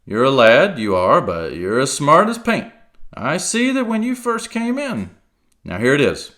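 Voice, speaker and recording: Guy doing a blustery old pirate cook, loud and high, swings all over the place, sea slang. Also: very animated, unhurried. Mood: wheedling. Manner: blustering, salty sea talk. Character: gruff hearty one-legged male pirate cook, cunning.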